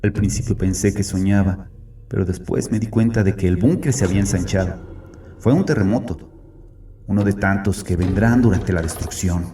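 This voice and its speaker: An actor playing a haunted, penitent ex-convict in a dramatic horror monologue, brooding and theatrical. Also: male voice, deep voice, noisy background, lightly acted. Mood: haunted, uneasy. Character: haunted, penitent male ex-convict confessing from a bunker.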